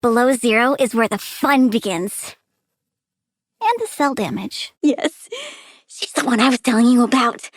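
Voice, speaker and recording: A woman's voice: fairly high pitch, unhurried. An actress voicing a childlike, eccentric plant-girl supervillain in a video game, cutesy and playful, with a gleefully menacing streak. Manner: cutesy, playful, swinging from chirpy excitement to sulky menace. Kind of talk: video game character voice lines.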